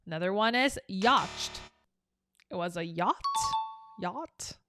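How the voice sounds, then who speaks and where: A woman's voice: medium pitch, lively intonation, slow, over loud music. A young woman from South Korea, teaching an English lesson in a chatty, upbeat way. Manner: chatty and upbeat, explaining with examples. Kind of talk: casual online English lesson.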